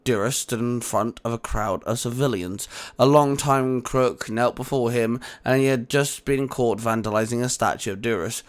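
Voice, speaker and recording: A man's voice: medium pitch, normal speed, few pauses. A man reading scripted audiobook narration for a fan fiction adventure story.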